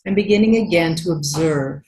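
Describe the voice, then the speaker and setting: A woman with a low-pitched voice guides a meditation as a yoga teacher. She speaks gently and takes her time, leaving long pauses between phrases. Faint noise and small clicks sit behind her voice. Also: poor audio. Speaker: yoga teacher leading a yoga nidra class.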